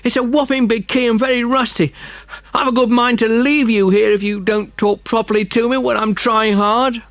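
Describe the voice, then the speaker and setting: A man's voice: extremely high pitch, steady tone, normal speed, a bit bright, no room sound, voice pushed up. A man voicing a cheeky young village boy in an audiobook story, panting and protesting indignantly. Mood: indignant, straining.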